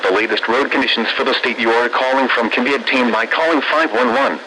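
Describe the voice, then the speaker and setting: An automated male announcer reading a scripted weather bulletin in formal, measured, synthetic phrasing. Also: medium pitch, expressive, vocal fry, pushed and bright. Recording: noisy weather radio broadcast.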